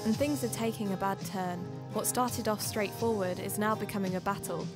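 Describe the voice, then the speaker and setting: A woman's voice: mid-range voice, steady tone, moderate pace, loud music behind the voice, natural voice. An actor playing an earnest, polite woman who investigates mysteries for a podcast, in scripted audio drama. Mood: measured. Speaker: podcast host and narrator.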